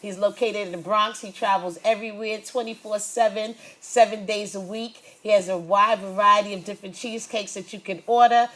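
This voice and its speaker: A woman's voice: mid-range voice, steady tone, brisk, hardly any pauses. A woman hosting a local interview show and speaking to viewers. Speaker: TV show host.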